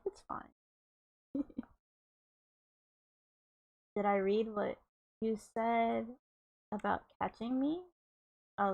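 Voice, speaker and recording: A woman's voice: a little high, a little mellow. A woman streamer reading aloud and chatting casually in a giggly, playful way. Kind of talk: casual livestream readalong and chat.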